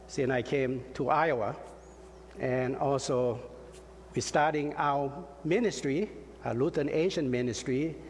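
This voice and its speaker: Slow, halting middle-aged man. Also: fairly high pitch. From Laos. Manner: halting, with frequent fillers and light self-deprecating jokes. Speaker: pastor. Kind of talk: sermon. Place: church sanctuary. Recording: reverberant hall, faint ambient noise.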